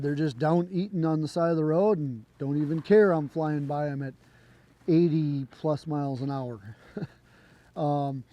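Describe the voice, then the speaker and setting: A man with a hoarse voice, still recovering from a cold, casually telling a long story to camera. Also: a little high. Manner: relaxed, rambling, with fillers and restarts. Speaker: trick shooter and channel host. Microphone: camera mic.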